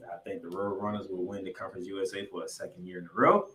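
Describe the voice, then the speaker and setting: A man's voice: sits low, little pitch movement, rapid-fire, pushed and bright, slight background noise. Echoey male sports podcast host. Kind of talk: excitable college football recap commentary. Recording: echoey room, music underneath.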